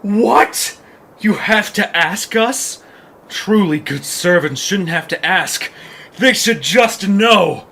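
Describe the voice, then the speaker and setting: A man's voice: sits high, lively intonation, slow pace, creaky, pushed and bright, exaggerated voice. A man voicing a bossy, hungry, self-important troll in an audio drama. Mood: indignant, demanding. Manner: pompous, demanding. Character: bossy, hungry pizza troll leader, arrogant and picky. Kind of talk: cartoonish audio drama acting. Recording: faint background noise.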